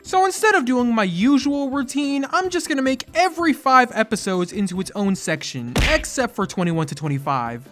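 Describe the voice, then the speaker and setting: A lively commentary host with a very animated, swooping delivery. The scripted comedy is delivered with sarcasm and exasperation, rising into mock outrage, over light background music. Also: mid-range voice.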